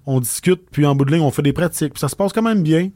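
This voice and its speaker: A man with a Québécois French accent, telling his story casually as an interview guest, with many pauses and restarts. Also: a little high, mellow, no room sound, studio quality.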